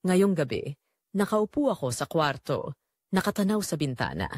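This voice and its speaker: A woman's voice: low-pitched, very animated, normal speed, darkish tone, no room sound, clean studio sound. A woman playing a weary, neglected middle-aged Filipina mother, telling her sorrowful story in an audio drama with a wistful, emotive manner and a Filipino accent. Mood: sorrowful, confiding. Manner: wistful, emotive, addressing listeners directly. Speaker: narrator and main character of an audio drama. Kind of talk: first-person dramatic confessional storytelling.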